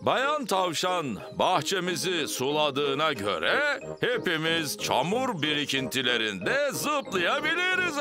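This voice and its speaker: A man voicing a jolly, teasing daddy pig in a children's cartoon dub, genial and excitable. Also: on the high side, slow pace, exaggerated voice. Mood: jolly, excited. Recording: music bed behind the voice.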